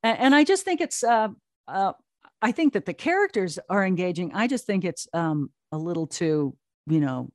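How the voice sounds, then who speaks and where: A woman talking casually as an interview guest, telling things in an animated storyteller way. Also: on the low side, normal speed, dry recording, clean studio sound. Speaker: interview guest, audio drama writer and producer.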